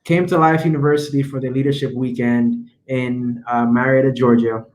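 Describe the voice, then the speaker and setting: A man's voice: mid-range voice, fairly even intonation, moderate pace, darkish tone. Young Jamaican man, student guest, earnest and rambling, roomy echo on a home video call. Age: young adult. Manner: earnest, rambling, many fillers. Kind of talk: casual interview answers. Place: home room, indoors. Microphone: laptop webcam video call. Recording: echoey room on video call.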